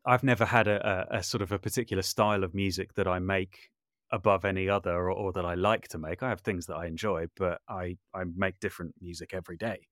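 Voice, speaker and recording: A man with a fairly low voice talks in a relaxed interview setting. He is thoughtful and a little hesitant, circling back to refine his wording. The sound is very dry and close. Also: fast talker, no echo. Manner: thoughtful, hesitant, self-correcting with repeated words. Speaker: podcast guest, composer and voice artist. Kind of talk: reflective conversational interview.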